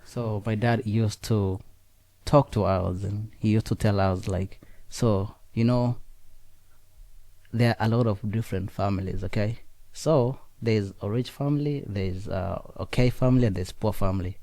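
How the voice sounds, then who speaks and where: A young man from Kenya, raised speaking Nandi, tells his story in an unhurried interview with plenty of fillers and restarts. His voice is mellow and sits a bit low. The sound is dry and close. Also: no echo. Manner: halting, frequent fillers and restarts. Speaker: interview guest. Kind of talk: casual conversational interview.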